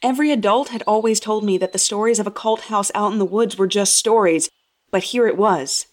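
A woman narrating scripted first-person horror fiction in a measured, expressive storytelling style. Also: medium pitch.

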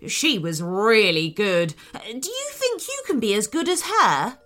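A woman's voice: a little high, very animated, measured pace, a bit bright. A woman voicing a proud, chatty male dog who boasts of being a hide and seek champion. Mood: boastful. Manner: boastful, hesitant fillers.

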